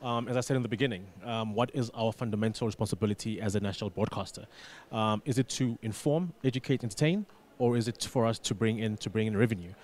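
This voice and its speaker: A man's voice: on the low side, a little mellow, a little hiss or hum. A man giving conversational interview answers as a studio guest, often repeating words as he builds his point. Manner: repeats words, halting emphasis. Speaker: radio business manager, studio guest.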